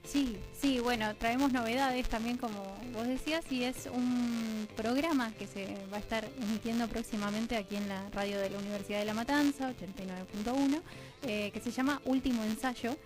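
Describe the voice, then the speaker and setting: A woman's voice: fairly high pitch, moderate pace, low-quality recording. Argentine woman explaining on radio, music behind. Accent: Argentine Rioplatense. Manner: discursive, thinking aloud in long chained sentences. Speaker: interview guest, radio drama producer. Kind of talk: conversational explanation in a radio interview. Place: radio studio.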